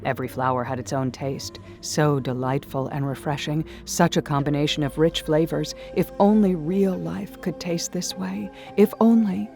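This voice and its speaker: A woman reading a first-person horror story in a hushed, dreamy way that slips into whispers. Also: low-pitched, fairly even intonation, moderate pace, creaky, hardly any pauses. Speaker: narrator. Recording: soft music behind.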